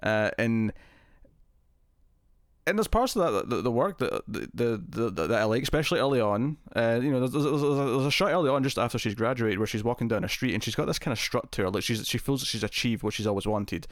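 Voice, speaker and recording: A man's voice: mid-range voice, lively intonation, a little fast, dry recording, studio quality. A man hosting a casual film podcast, talking in a chatty way, laughing often and restarting words. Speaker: podcast host. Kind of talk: casual chat.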